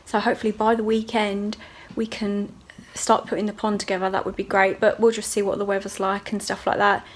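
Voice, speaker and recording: A woman's voice: medium pitch, level tone, fairly quick. A woman, a hairdresser chatting casually to her viewers in a video diary, rambling from one thing to the next. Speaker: vlogger and hairdresser.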